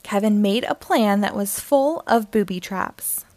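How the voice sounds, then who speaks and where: A woman's voice: medium pitch, animated, measured pace, darkish tone, no room sound. A woman narrating a storybook aloud for young children.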